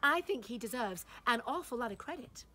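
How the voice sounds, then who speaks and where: A woman's voice: fairly high pitch, expressive, fairly quick, sharp tone. A woman voicing a gushing, condescending society lady at a party, breathless and fluttery, with giggles and gasps, in a dramatic short-story reading.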